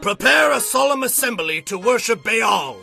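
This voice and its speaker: A man's voice: extremely high pitch, animated, moderate pace, creaky, sharp tone, soft background music, full character voice. An actor playing a commanding, ruthless warrior king in a dramatized audio Bible, with emphatic, declamatory delivery.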